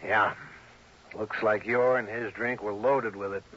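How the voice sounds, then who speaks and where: An American actor playing a tough, brusque, impatient police sergeant in a 1960s radio drama. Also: male voice, lowish voice, fairly even intonation, a little slow, bright, forceful tone, breathy edge, exaggerated voice. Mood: brisk, impatient. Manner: brusque, clipped, commanding. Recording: dull vintage radio recording.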